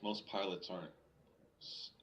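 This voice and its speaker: A man's voice: sits low, fairly even intonation, unhurried, a bit bright. A man in his late thirties chatting casually over voice chat, hesitant and trailing off, with some casual swearing. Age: 30s. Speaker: gamer in voice chat. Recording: muffled voice chat with faint hiss.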